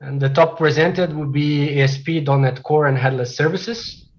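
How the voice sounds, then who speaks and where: A man's voice: a little high, lo-fi sound. Portuguese-native man presenting tech talk. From Brazil. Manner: frequent "uh" fillers, measured delivery. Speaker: conference speaker, software developer. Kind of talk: technical presentation.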